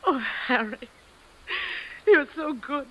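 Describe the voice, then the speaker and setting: A woman's voice: high voice, animated, slow. An American actress playing an anxious, evasive wife and mother, stammering nervously in a 1950s radio drama. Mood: defensive, distressed. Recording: muffled vintage radio recording.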